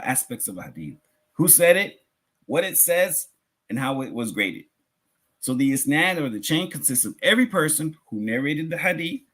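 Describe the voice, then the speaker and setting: A man's voice: mid-range voice, a bit bright, breathy edge. Man teaching a religious class. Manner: conversational, rhetorical questions, rephrases points for listeners. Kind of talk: religious lecture, explanatory teaching.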